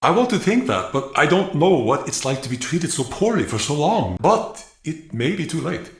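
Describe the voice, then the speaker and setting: A man playing an earnest, remorseful captive in a video game, speaking with a halting, dramatic manner. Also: fairly high pitch, very animated. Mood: regretful. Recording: roomy, echoing space.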